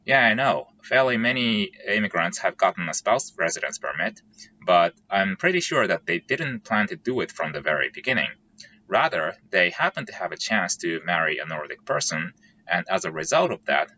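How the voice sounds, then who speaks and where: A man talks through his points in a careful, orderly way. His voice sits fairly low but has a bright, forward edge. The recording is a bit dull, with a faint hiss behind him. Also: very animated. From Japan. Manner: careful, measured and methodical, lays out points in order. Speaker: vlogger. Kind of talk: scripted explainer monologue.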